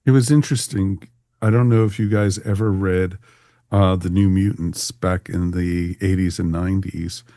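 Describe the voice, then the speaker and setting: A man's voice: sits low, steady tone, moderate pace, dark tone, dry recording, clean studio sound. A man hosting a podcast, chatting casually as he leads a panel review. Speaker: podcast host.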